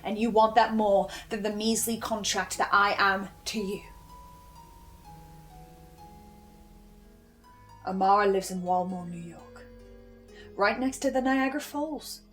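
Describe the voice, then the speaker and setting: A woman playing a composed, eerie researcher in a horror audio drama, speaking in a deliberate theatrical way. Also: mid-range voice, faint music behind, close to an everyday voice. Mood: hushed, ominous. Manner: slow, deliberate, ominous, suave.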